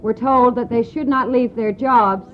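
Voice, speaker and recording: Woman, American accent, higher-pitched, halting testimony, short phrases, muffled old radio tape. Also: fairly even intonation, a little hiss or hum. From United States. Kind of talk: personal testimony, spoken in short portions for interpretation. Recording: dull lo-fi archive radio tape.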